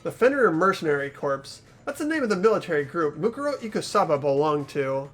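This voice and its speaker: Clear-thinking student male performer, earnest explainer with animated pitch, video game dub with faint music. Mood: earnest, assured. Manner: explanatory, deliberate. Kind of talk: fan-dubbed video game character acting.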